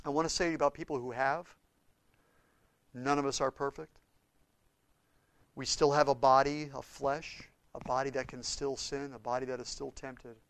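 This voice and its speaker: A man preaching a sermon with impassioned swings between loud and hushed delivery. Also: medium pitch, little pitch movement, normal speed, pauses often, no room sound, low-quality recording. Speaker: pastor.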